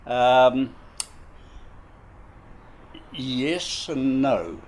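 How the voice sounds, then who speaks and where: A man in his nineties with a New Zealand accent, recalling his life in an interview, slow and halting with many fillers. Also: medium pitch, lively intonation. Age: 90s. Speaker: interviewee, war veteran. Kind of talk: casual reminiscing interview.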